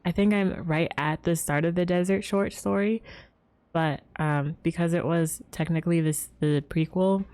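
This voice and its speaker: A woman's voice: lowish voice, steady tone, moderate pace, darkish tone. A woman livestreaming a game and chatting casually with viewers in a rambling, giggly way. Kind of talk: casual chat with stream viewers. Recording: game music behind the voice.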